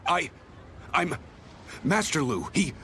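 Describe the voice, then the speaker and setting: A man's voice: fairly high pitch, sing-song, slow, fairly bright tone, a little hiss or hum, exaggerated voice. A man voicing a shifty, defensive merchant in a video game, theatrical and easily flustered. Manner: theatrical, stammering when cornered. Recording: music behind the voice.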